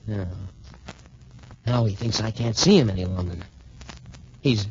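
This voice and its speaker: Actor as an earnest young hero in muffled vintage radio. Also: male voice, on the low side, a little slow, darkish tone, lightly acted. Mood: musing, suspicious. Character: earnest, trusting young male student boxer hero. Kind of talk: 1940s radio adventure serial acting. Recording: muffled, noisy 1940s radio recording.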